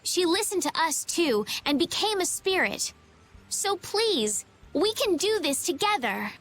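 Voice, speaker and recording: An actress playing an earnest, idealistic young heroine in a video game, gentle but stubborn and pleading. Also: female voice, extremely high pitch, very animated, measured pace, exaggerated voice. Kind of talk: dramatic video game voice acting.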